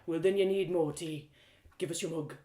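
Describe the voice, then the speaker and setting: A woman's voice: low voice, normal speed, warm tone, reverberant, close to an everyday voice. A woman voicing a kind, stubborn young man, an innkeeper's son with plain rustic phrasing, in a storybook. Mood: kind, insistent.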